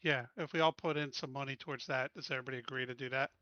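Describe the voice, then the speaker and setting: A man in a tabletop game session who keeps organizing the group and stumbles over his restarts. His voice is bright and a little high, and the sound is dull and lo-fi, with no room echo. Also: steady tone, rapid-fire. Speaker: player. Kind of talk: casual tabletop game chat.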